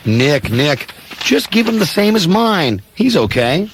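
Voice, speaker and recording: A man's voice: a little high, very animated, a little slow, slight background noise. A man playing a bewildered, exasperated family man in a comic radio play, folksy and stammering. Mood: puzzled.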